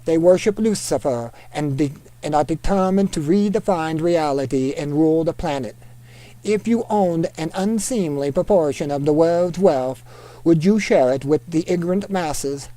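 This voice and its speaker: A man reading an article aloud in a put-on Norwegian accent, steady and deliberate. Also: a little high, no room sound, lightly acted, poor audio.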